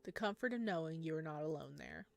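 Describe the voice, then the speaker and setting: A woman's voice: deep voice, lively intonation, fast talker, creaky. Woman reading a game aloud over music. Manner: giggly, informal asides between read narration. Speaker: gamer playing and reading a visual novel aloud. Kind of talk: game playthrough, reading aloud with chatty reactions. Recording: music behind the voice.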